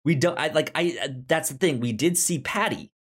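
A man hosting a casual review chat, speaking haltingly and often repeating or restarting his words. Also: on the high side, fairly bright tone, husky. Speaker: podcast host.

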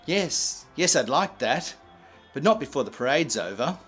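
Actor as a genial, animated gentleman suitor. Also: mid-range voice, moderate pace, soft background music. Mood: playful. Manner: genial, polite. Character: friendly, charming gentleman neighbour courting a mother. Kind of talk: old-time radio drama acting.

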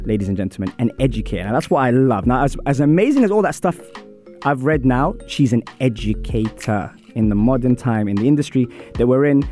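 A man hosting a radio sports show, his voice hoarse, with an upbeat, hyped-up presenting manner. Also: mid-range voice, mellow.

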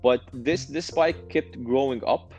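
A man's voice: medium pitch, measured pace, darkish tone, faint music behind. A man answering interview questions as a startup founder, hesitant and often repeating words as he restarts.

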